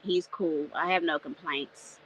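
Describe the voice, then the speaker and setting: A woman in her thirties from North Carolina with a squeaky voice, chatting casually as an interview guest, laughing often and using lots of fillers. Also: steady tone, unhurried, fairly bright tone, slightly rough, low-quality recording. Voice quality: self-described squeaky voice. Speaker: guest artist.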